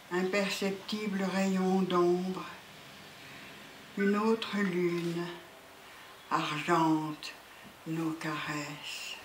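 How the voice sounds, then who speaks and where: A poet reciting solemnly and deliberately at a literary event, pausing between phrases. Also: medium pitch, a bit bright. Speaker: poet reading at a book event. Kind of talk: slow, solemn poetry recitation. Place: indoor literary event room. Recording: echoey room with background noise.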